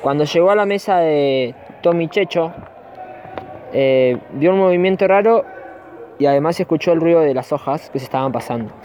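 Narrador argentino de voz suave y opaca. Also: male voice, on the high side, moderate pace, mellow, slight background noise. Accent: Argentine Spanish. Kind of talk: scripted radio play narration. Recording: dull, lo-fi amateur recording.

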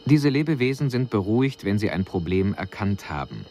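Very deep-voiced detached alien observer. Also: male voice, very animated, warm tone, a little hiss or hum. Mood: sober, analytical. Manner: measured, analytical, formal. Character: male alien emissary, detached observer soberly reporting on humans. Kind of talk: scripted radio drama report.

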